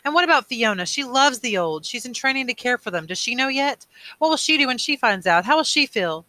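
A man voicing a distraught, bitter adolescent boy in a storybook, emotional and loud. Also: very high voice, animated, brisk, no room sound, voice pushed up, heavily acted. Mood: accusing, upset. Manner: emotional, loud, sarcastic when angry.

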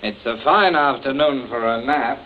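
An actor playing a callous but obliging young son in a radio drama, casual and politely chirpy. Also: male voice, mid-range voice, moderate pace, natural voice. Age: young adult. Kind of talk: old-time radio drama acting. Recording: tinny band-limited old radio, faint noise.

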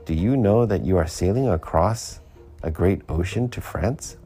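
A man's voice: sits low, normal speed, mellow, soft background music, lightly acted. A man voicing a curious boy asking wondering questions in a storybook reading. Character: curious young boy on a ship.

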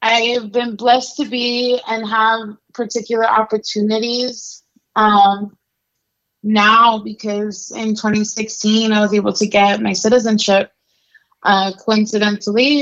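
A woman's voice: mid-range voice, flat delivery, measured pace, fairly bright tone, highs cut off. A young adult woman from Mexico, a guest telling her life story in a casual, candid interview full of fillers. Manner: candid, conversational, many fillers.

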